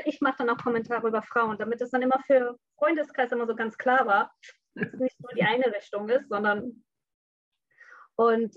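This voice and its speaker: A young woman who grew up in Bangladesh speaks frankly as an interview guest, pausing often with fillers. Her voice sits a little high. The recording is dry and somewhat dull. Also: no room sound. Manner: open, frank and reflective, with frequent fillers. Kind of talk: conversational interview.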